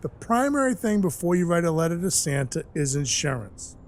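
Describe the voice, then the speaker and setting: A man acting in an audio drama as an awkward, gruff but kindhearted Christmas dwarf who rambles earnestly and runs himself down. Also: a little high, big pitch swings, brisk. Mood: anxious, urgent. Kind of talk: audio drama acting with first-person narration. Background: music under the voice.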